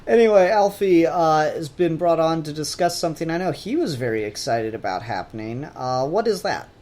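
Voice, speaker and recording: A man's voice: a little high, lively intonation, normal speed, darkish tone. A man hosting a podcast in casual, rambling conversation with a joking manner. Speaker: podcast host.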